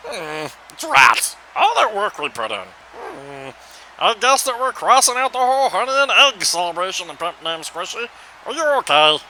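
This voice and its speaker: A man's voice: sits high, sing-song, normal speed, pushed and bright, slight background noise, exaggerated voice, rough recording. A man voicing an earnest cartoon wrestler's supporter, humming and speaking in sing-song rhymes. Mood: dejected. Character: earnest, rhyming male supporter of a bear wrestler. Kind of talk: scripted cartoon acting.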